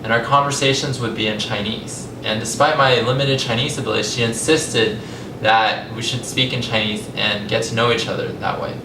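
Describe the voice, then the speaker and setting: A young adult man from California with an American accent gives a public talk, telling his personal story in a conversational, measured way with deliberate pauses. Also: fairly even intonation. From California, United States. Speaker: language company founder giving a talk. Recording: echoey, distant mic, rumbling noisy room.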